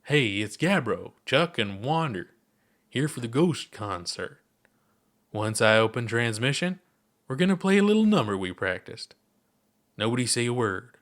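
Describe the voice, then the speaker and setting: A man's voice: mid-range voice, very animated, unhurried, a bit bright. A man voices a laid-back alien musician in a sci-fi audio story. The figure is playful and easygoing, talking like a casual announcer.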